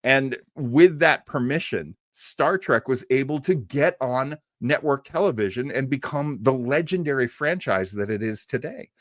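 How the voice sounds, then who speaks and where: A man's voice: medium pitch, animated, moderate pace, hardly any pauses, no room sound, clean studio sound. An American man talking casually to livestream viewers as a show host, telling stories with a fan's enthusiasm and emphatic repetition. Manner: enthusiastic fan storytelling. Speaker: host of an old-time radio show; performer.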